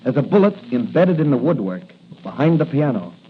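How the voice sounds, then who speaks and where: A man's voice: mid-range voice, big pitch swings, a little fast. An actor playing a straightforward, confident police patrolman, matter-of-fact, in a 1940s radio drama. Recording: muffled, hissy 1940s radio recording.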